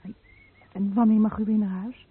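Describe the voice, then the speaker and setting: A woman's voice: medium pitch, flat delivery, moderate pace, breathy edge. An actress playing a troubled, defensive yet polite female patient, hesitant and emotional, in a radio drama. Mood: polite, curious. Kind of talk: Dutch radio drama acting. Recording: noisy phone-like old radio recording.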